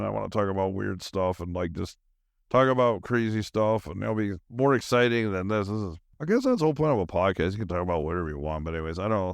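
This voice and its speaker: A man's voice: lowish voice, smooth, dry recording, clean studio sound. A middle-aged man hosting a solo podcast, tired and zoned out, rambling casually in slangy, meandering talk with trailing thoughts.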